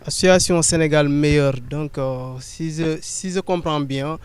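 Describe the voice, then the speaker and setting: A man's voice: a little high, measured pace, a little hiss or hum. A man hosting an interview show, speaking formally and hesitating often with filler sounds. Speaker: host.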